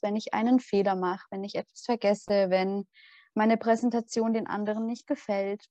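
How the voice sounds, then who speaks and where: Mellow-voiced young woman, hesitant. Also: medium pitch, no room sound, highs cut off. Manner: hesitant, many fillers. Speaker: student podcast co-host. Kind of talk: casual chat.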